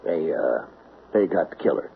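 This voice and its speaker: A man's voice: a bass voice, lively intonation, normal speed, vocal fry, full character voice. Old radio drama: genial country sheriff, a man with folksy easy manner, narrow hissy sound. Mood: confiding. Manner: easygoing, colloquial, hemming. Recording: noisy, tinny old radio recording.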